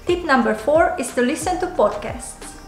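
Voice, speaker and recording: A woman's voice: high-pitched, animated, moderate pace. Young Hungarian-accented woman, roomy sound. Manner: earnest, explaining step by step, slight non-native phrasing. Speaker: YouTuber. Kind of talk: casual to-camera tips vlog. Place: small home office indoors. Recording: roomy home office, faint music.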